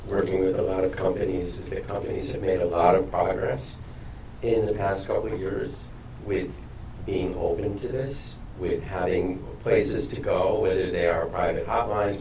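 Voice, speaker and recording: A man on a panel speaking in a measured, upbeat way about work with companies. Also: deep voice, fairly even intonation, recorded from a distance, a little hiss or hum. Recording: echoey hall from afar.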